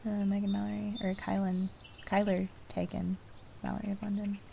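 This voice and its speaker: A woman's voice: medium pitch, monotone, fairly quick, creaky, warm tone, a little hiss or hum. A woman chatting casually and thinking aloud, a bit distracted, as she streams a game. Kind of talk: casual chat while playing a game. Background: game music.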